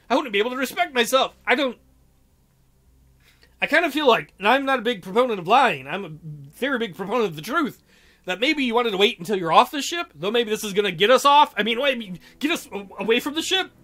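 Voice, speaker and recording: Guy reading a visual novel out loud, really high bright voice, jokey and dramatic. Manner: theatrical, irreverent. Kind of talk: dramatic read-aloud with joking commentary.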